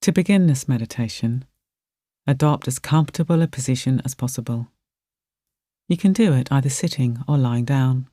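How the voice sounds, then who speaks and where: A mellow, warm voice leads a guided meditation. The delivery is gentle and soothing, with soft phrases given room to settle. The sound is dry and close, free of room echo. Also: medium pitch, moderate pace.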